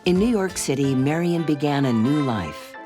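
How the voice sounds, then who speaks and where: A documentary narrator reading a scripted story in a measured, expressive delivery, with music playing behind. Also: medium pitch.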